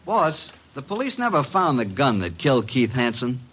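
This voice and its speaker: An actor playing a brash, wisecracking detective's assistant and narrator in a vintage radio drama, breezy and slangy. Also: male voice, mid-range voice, big pitch swings, moderate pace, fairly bright tone, breathy edge. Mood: wry, chatty. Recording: noisy vintage radio recording.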